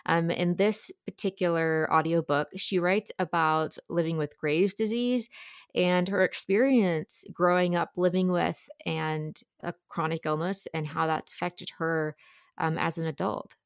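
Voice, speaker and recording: A woman's voice: on the low side, fairly even intonation, measured pace, smooth, no room sound, studio quality. A woman giving a conversational book review as a podcast guest.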